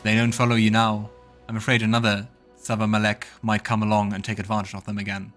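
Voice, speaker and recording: A man's voice: fairly low pitch, completely flat delivery, fast talker, a bit bright, faint music behind, natural voice. A man voicing a resolute, serious male companion fighter with earnest delivery in a game. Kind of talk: voiced game dialogue.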